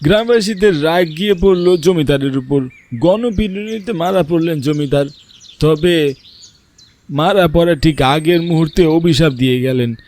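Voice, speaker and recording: A man voicing a very old village grandfather in a cartoon, recalling an old tale in a drawn-out elder's manner. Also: a little high, darkish tone, close to an everyday voice. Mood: grave, reminiscing. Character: oldest village grandfather, reminiscing storyteller. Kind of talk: Bengali cartoon story dialogue.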